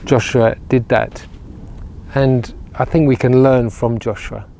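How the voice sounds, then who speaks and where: Man, 40s, outdoor sermon, lapel mic, earnest, dark voice. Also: medium pitch, fairly even intonation, slow pace, vocal fry, slight background noise. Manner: earnest, gestures broadly, repeats words when building a point. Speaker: regional minister. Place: outdoors by ruined castle walls on a sunny day. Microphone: clip-on lapel mic.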